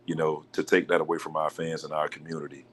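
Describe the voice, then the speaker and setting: A man with a deep voice, a basketball coach being interviewed on a local sports talk show, answering in a measured, polite way. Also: monotone. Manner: measured, polite, says "yes, sir". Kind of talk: casual radio show interview.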